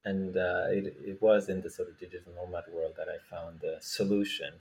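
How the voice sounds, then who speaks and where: A man from Norway speaking casually as an interview guest, halting as he thinks aloud. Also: deep voice, little pitch movement, a little mellow. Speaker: guest, startup founder.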